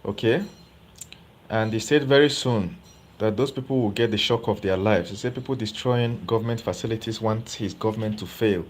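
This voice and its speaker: A man's voice: lowish voice, normal speed. A man giving live political commentary as host, chatty and often checking in with viewers. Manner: conversational, asks viewers rhetorical questions.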